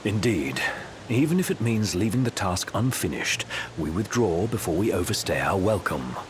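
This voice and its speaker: A man playing a composed young royal strategist in a video game, formal, measured and articulate. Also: sits low, sing-song, background noise. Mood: cautious, firm. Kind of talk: video game cutscene acting. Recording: music under the voice.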